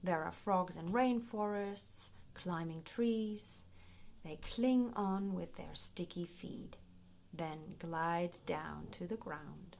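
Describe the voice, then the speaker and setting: A woman reading a children's nature book aloud in an expressive, playful storytime manner, paced for young listeners. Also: on the low side, slow pace, creaky, slight background noise. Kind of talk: read-aloud children's nonfiction storytime narration.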